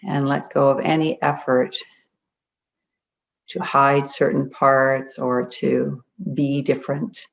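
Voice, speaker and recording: A meditation guide leading a group in a gentle, deliberate way, leaving long pauses between phrases. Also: medium pitch, level tone, creaky. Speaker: meditation guide, spiritual coach. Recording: dull, muffled lo-fi audio.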